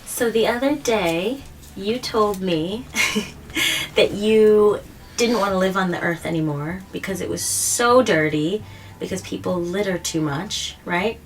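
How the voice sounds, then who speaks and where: A woman hosting a children's read-aloud, explaining things simply and gently to a child and often checking in with questions. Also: medium pitch, a little slow. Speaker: host of a children's story read-aloud show. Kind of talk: picture-book reading and simple explanations to a child. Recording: distant mic, faint background noise.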